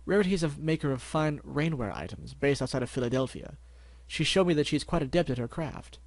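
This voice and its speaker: A prissy, dramatic storybook unicorn stallion, put on by a man with a raised, lively voice that swings higher and louder as he gushes and frets. He sounds pampered and easily flustered. The voice comes across dry and close. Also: fairly quick, dry recording. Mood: refined. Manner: theatrical, fussy and flustered.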